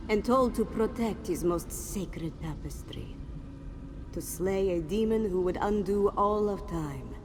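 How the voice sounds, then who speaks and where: A woman's voice: on the low side, background noise. A woman playing a grave, mystical cat-woman warrior sage in a fantasy video game, speaking solemnly and cryptically. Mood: grave, encouraging. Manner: solemn, cryptic, speaks of self in third person.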